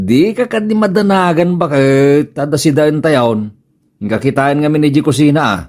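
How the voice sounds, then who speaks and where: A man's voice: a little high, measured pace, darkish tone, natural voice. A man with an Ilocano accent playing a vain, sly village husband in a comedy radio drama, teasing and self-satisfied. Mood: evasive, teasing.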